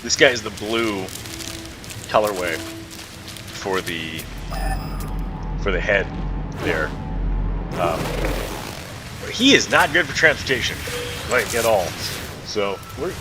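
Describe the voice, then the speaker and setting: A man giving casual, rambling commentary while playing a video game, chatting away to the creatures in it. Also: lowish voice. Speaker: gaming video host. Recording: loud background noise under voice.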